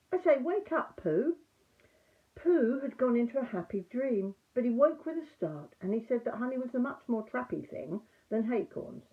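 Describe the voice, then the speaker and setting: An older woman narrates a children's storybook in an expressive storyteller's style. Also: mid-range voice. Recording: muffled, narrow phone-like audio.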